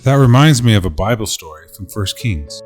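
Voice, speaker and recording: A gentle, low-voiced father narrating. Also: lively intonation, fairly quick, soft background music. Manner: gentle, earnest storytelling. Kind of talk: reading a Bible story in a home-made audio drama.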